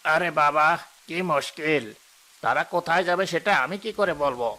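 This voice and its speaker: Cartoon casting: male actor as greedy, callous village boss, forceful bright tone, fairly high pitch, scolding. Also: moderate pace. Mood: irritated, scolding. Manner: dismissive, scolding, self-important. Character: greedy, callous, deceitful village headman and husband. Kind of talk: cartoon voice acting. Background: faint music.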